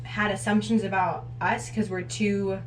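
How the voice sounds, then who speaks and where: A woman's voice: medium pitch, flat delivery, unhurried. A woman in her twenties chatting casually to camera as a vlog co-host. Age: 20s. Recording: roomy echo, distant mic, noisy.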